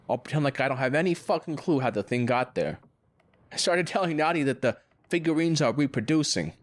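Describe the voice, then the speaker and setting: A man's voice: medium pitch, natural voice. A man acting a chipper, needling lawyer in an audio drama, breezy, sarcastic and boastful.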